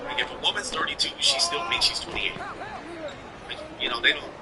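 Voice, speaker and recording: A man's voice: a little high, huge pitch swings, brisk, over loud music, a loud crowd. A man in his forties hosting a live stream, ranting bluntly and profanely in a casual, opinionated way. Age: 40s. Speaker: livestream host. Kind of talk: casual livestream rant.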